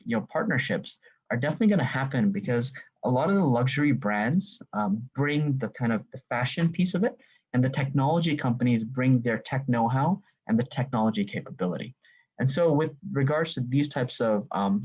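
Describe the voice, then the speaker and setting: Male presenter on a video call, husky, filler-heavy delivery, dull audio. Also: medium pitch, a little mellow. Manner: filler-heavy, many "you know" and "right?". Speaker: webinar presenter. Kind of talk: business presentation.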